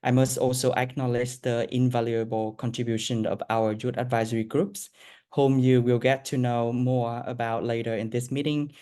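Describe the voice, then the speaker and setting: A man reading formal opening remarks at a project launch in a steady, formal way. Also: medium pitch, moderate pace, clean tone. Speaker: project lead partner speaker.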